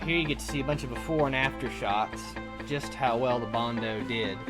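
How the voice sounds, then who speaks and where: A man's voice: medium pitch, brisk, loud music behind the voice. A man presenting a hobby build in a casual, informal how-to narration style.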